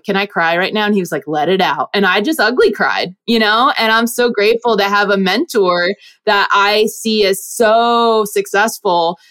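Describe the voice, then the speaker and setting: A woman from New Jersey in her thirties, a bubbly investor talking as a podcast guest, her voice leaping up when she quotes people. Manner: bubbly, animated, jumps up in pitch when quoting people. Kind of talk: podcast interview.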